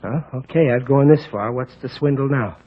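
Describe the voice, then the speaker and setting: An actor playing a brash, soft-hearted, wisecracking milkman with a husky New voice in an old radio comedy-drama. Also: mid-range voice, normal speed, a little hiss or hum, close to an everyday voice. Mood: grudging, wry. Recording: dull vintage radio recording.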